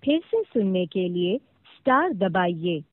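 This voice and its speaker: A woman's voice: on the low side, very animated, slow pace, no room sound. A woman reading a formal, scripted instructional announcement on a recorded phone call. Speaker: automated phone line announcer. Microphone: phone line.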